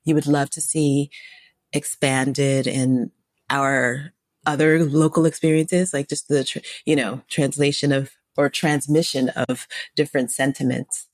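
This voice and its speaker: A woman's voice: very deep voice, steady tone, a little slow, no echo. A woman hosting a podcast interview, reflecting on ideas and affirming her guest often. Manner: reflective, affirming listener with frequent "yeah" and "right". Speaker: podcast host. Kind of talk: casual interview conversation.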